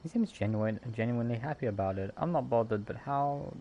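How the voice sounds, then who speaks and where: A man's voice: medium pitch, lively intonation, fairly quick, dark tone, highs cut off, slight background noise. A man doing casual game playthrough commentary and reading dialogue aloud in an offhand, joking way. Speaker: gamer, streamer. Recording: game music behind.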